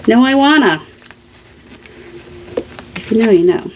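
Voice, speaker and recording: A woman's voice: a little high, animated, measured pace. Rambling, playful woman crafter with noisy backdrop. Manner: rambling, self-talking, playful self-scolding. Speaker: craft video host. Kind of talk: casual crafting chat while working. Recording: noisy, with clicks and crinkling paper.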